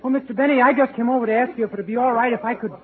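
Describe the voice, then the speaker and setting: A man's voice: extremely high pitch, fairly even intonation, racing. A man playing a naive, dim-witted young man who blurts things out and repeats himself, in 1940s radio comedy style. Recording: tinny scratchy old radio broadcast.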